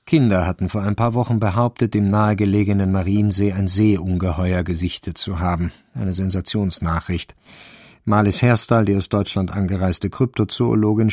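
A man's voice: on the low side, creaky, darkish tone, no echo, studio quality. An actor playing a sober, wry male narrator, reading scripted literary narration in a radio crime drama.

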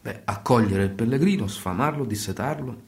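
Radio drama: male actor as reserved confessor priest, terse and subdued, medium pitch, roomy echo. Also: fairly quick, gravelly, lightly acted. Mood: quiet, probing. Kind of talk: radio theatre dialogue. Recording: echoey room.

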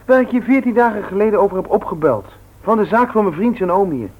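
A man's voice: high voice, expressive, fast talker. An actor in a Dutch radio play, voicing an eager young man who hesitates and repeats his words. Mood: pushy, upbeat. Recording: dull, lo-fi old radio recording.

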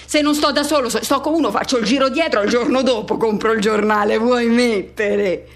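Woman voicing a haunted lonely man, high-pitched. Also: close to an everyday voice. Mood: brooding, uneasy. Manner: colloquial, introspective, halting repetitions. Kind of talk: radio drama monologue.

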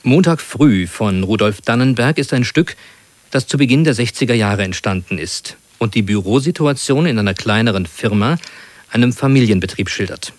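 A man reading a scripted introduction to a radio play as announcer, in a measured, formal manner. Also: medium pitch, animated, no room sound.

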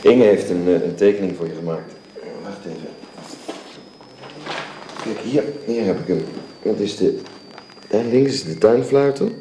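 A man's voice: on the low side, measured pace, pauses often, a crowd in the background, close to an everyday voice. An actor playing a courteous middle-aged father and employer in a Dutch radio drama, speaking formally, hesitantly and persuasively. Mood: gentle, awkward. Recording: roomy, hissy old radio recording.

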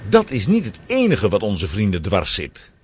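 A man's voice: fairly low pitch, wildly swooping pitch, moderate pace, a little hiss or hum. A man narrating a children's adventure story in a dramatic, scripted storytelling style.

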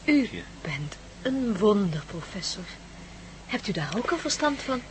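An earnest woman doctor from a radio play, with strongly varied intonation at a middling pitch. She sounds inquisitive and expressive on a recording with clear noise. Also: unhurried. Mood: curious, questioning. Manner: questioning, expressive. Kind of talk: Dutch radio play acting. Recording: noisy old radio drama recording.

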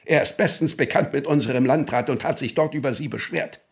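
An actor playing a stern, sceptical state prosecutor in a radio drama, officious, clipped and sarcastic. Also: male voice, medium pitch, a little fast, gravelly, lightly acted. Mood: incredulous, scornful.

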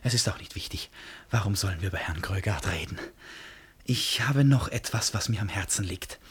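An actor in a German radio play playing an eccentric, insistent lovesick gentleman with formal, theatrical speech and a husky voice. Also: on the low side, animated, full character voice. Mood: courteous, probing. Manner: formal, theatrical, halting then pressing, abrupt turns.

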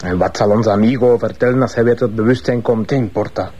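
An actor playing a cold, scheming criminal who mixes Spanish into his Dutch, husky-voiced, in a radio crime drama. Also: fairly low pitch, quick pace, dark tone, muffled recording. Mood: cold, calculating.

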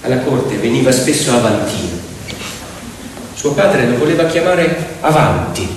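A man telling a story theatrically as a stage actor, with dramatic, drawn-out phrasing. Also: mid-range voice, big pitch swings, slight background noise. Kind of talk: theatrical narrative storytelling with piano. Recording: very echoey hall, distant, loud music. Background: loud piano music.

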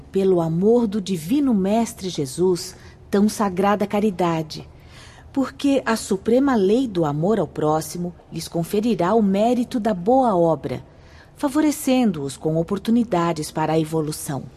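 An actress with a Brazilian accent playing a solemn, kindly spirit instructor in a radio drama, explaining things formally. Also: female voice, medium pitch, animated, a little slow, mellow, breathy edge. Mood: grave, compassionate. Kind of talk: radio drama acting, didactic.

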